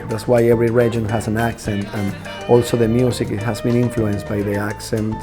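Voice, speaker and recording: A man's voice: on the low side, flat delivery, fairly quick. A Venezuelan band leader and musician speaking English with a Venezuelan Spanish accent. He speaks Spanish natively and tells his story thoughtfully and earnestly, sometimes searching for his words. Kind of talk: reflective documentary interview. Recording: music playing underneath.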